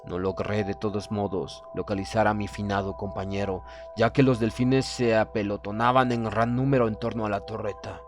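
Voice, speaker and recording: Measured male horror narrator over music. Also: lowish voice, little pitch movement. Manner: measured, formal, dramatic. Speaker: audiobook narrator. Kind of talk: scripted horror story narration, first person.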